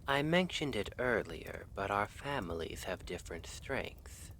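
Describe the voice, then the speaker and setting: A man playing an earnest, lovestruck assassin from a noble house in an ASMR roleplay, speaking in measured, formal, hesitant phrases. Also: on the low side, sing-song, vocal fry, background noise, full character voice. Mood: earnest, cautious.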